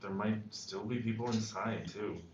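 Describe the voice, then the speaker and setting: A man playing an earnest, shaken high school student in a drama table read. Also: fairly even intonation, moderate pace, lightly acted. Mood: shaken, serious. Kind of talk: screenplay table read, film drama dialogue. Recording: echoey room, dull sound, faint noise.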